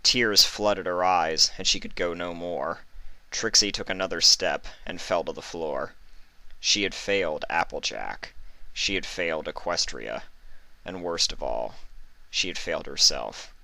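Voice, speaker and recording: A man's voice: sits low, unhurried, gravelly, bright, forceful tone, no room sound, rough recording. A man narrating a scripted story dramatically. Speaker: fan fiction narrator.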